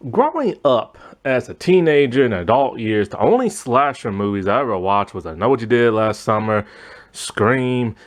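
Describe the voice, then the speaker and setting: A man hosting a casual movie review monologue, his voice strained and going hoarse as he rambles with lots of fillers. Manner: rambling, lots of fillers, animated pitch swings. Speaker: movie review channel host.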